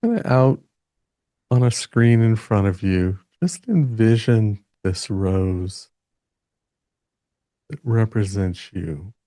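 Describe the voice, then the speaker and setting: Slow, low-voiced man guiding meditation. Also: lively intonation, dry recording, clean studio sound. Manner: gentle, halting delivery with long pauses. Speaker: meditation guide. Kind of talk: gentle guided meditation.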